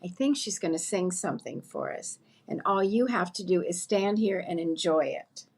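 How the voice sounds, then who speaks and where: A woman playing a plain-spoken friend out shopping, quick to argue, in an old-time radio comedy. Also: fairly low pitch, breathy edge. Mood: explaining.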